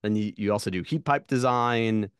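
A man's voice: fairly low pitch, normal speed, clean tone, dry recording, studio quality. A man in his thirties hosting a podcast interview over a video call, asking curious, friendly questions. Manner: friendly, curious, brief acknowledgments. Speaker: podcast host. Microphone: video call webcam.

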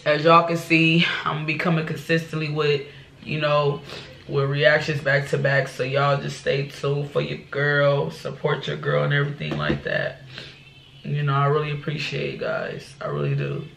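A woman's voice: low voice, moderate pace, gravelly, fairly bright tone, a little hiss or hum. A young woman with a stuffed-up nose, chatting casually with her viewers as a reaction channel host, from a small bedroom. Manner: chatty, repeats "y'all" and "you know". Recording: echoey small room.

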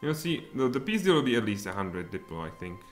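A man in his thirties with a Czech accent, streaming a strategy game and thinking aloud, with sudden excited outbursts. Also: lowish voice, fast talker. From Czech Republic. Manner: mutters thoughts aloud, then bursts out excitedly. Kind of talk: live strategy game commentary. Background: faint music and keyboard typing.

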